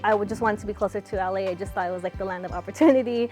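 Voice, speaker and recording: A young woman from California answering interview questions in a relaxed, chatty way, with lots of fillers. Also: medium pitch, very fast, soft background music. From California, USA. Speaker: interviewee, fighter.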